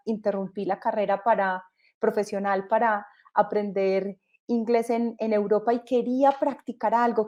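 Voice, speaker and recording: A woman's voice: mid-range voice, mellow. A Colombian woman in her thirties with a Colombian accent, a company co-founder giving an explanatory webinar presentation over a video call, with frequent hesitations and restarts. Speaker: company co-founder and panel speaker.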